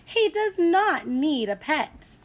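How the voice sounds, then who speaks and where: A woman voicing an exasperated mother in a storybook, stern and growing louder with each protest. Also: sits high, sing-song, slow, pitched above the performer's own voice, exaggerated voice. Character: exasperated mother putting her foot down.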